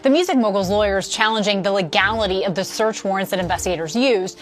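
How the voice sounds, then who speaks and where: A woman's voice: mid-range voice, fast, faint music behind. A woman news anchor reading a broadcast report and putting questions to a correspondent.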